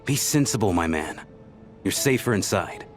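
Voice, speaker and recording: An actor voicing a level-headed man who speaks in a calm, reassuring way. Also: low-pitched, normal speed, faint music behind. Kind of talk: video game voice acting.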